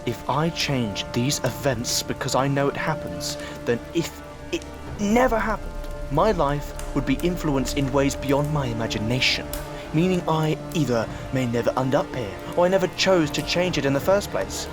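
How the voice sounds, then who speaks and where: A man's voice: mid-range voice, animated, normal speed, over music, lightly acted. A man playing a brooding, analytical time-travelling alien in an audio drama, explaining things in a formal, commanding way. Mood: measured, explaining.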